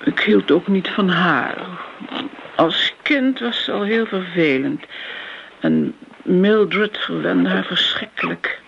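An actress playing an eccentric, lonely older lady customer in a radio drama, rambling genteelly in a breathy, wistful voice. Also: fairly low pitch, sing-song, takes it slowly, a bit bright, full character voice. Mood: wistful, chatty. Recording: muffled, noisy old radio play recording.

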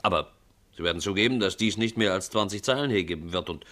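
Deep-voiced, flippant newspaper reporter. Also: male voice, fast, a bit bright. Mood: bored. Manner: nonchalant, wry. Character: flippant, easily bored male newspaper reporter. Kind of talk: 1950s German radio crime drama acting.

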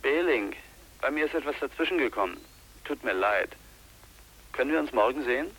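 Husky muted guy, awkwardly polite, hesitant, radio drama. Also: mid-range voice, expressive, fairly quick, fairly bright tone, rough recording. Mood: polite, cautious. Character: apologetic, probing man making an awkward request. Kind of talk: 1980s German radio drama acting.

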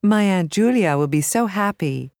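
A woman reads short instructional prompts for a language course. She speaks slowly and carefully, with lively rises and falls in her intonation. The recording is dry and free of room sound. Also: medium pitch, dry recording.

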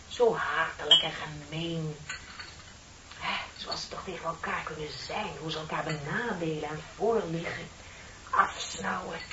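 An actress playing a chatty, flattering office secretary in her thirties in a radio play, with a polite, effusive manner. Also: on the low side, natural voice. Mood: indignant. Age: 30s. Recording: dull, noisy old radio recording.

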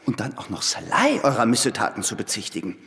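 Pompous master painter in echoing hall. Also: male voice, on the low side, huge pitch swings, quick pace, a bit bright. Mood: indignant. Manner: pompous, scornful. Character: imperious, sardonic old master painter, disdainful and theatrical. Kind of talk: German radio drama acting. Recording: long hall reverb. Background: faint music.

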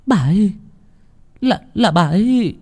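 Guy doing a scared young woman, high voice, stammering, music underneath. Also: lively intonation, unhurried, a little mellow, slightly rough, heavily acted. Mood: panicked. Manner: stammering, frightened. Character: panicked young woman, stammering to her father.

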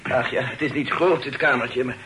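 A man's voice: mid-range voice, steady tone, moderate pace, lightly acted. A man playing a humble, impoverished poet in a period radio play, obsequious, fussy and apologetic. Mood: chatty, humble. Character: poor, humble, fussily courteous male poet in garret lodgings. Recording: noisy old radio play tape.

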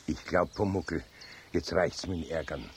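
An actor playing a good-natured older Bavarian craftsman, gruff but fatherly, in a radio play. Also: very deep voice, a little slow, darkish tone. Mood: coaxing. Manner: fatherly, coaxing, easily riled when wronged. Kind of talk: 1970s German radio play acting. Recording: faint background noise, old radio production.

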